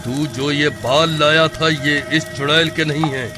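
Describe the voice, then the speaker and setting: A man's voice: steady tone, measured pace, over loud music, natural voice. A man voicing a pompous, bossy village sorcerer in a horror-comedy cartoon, imperious and given to chanting. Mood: irritated. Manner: booming chants and imperious commands.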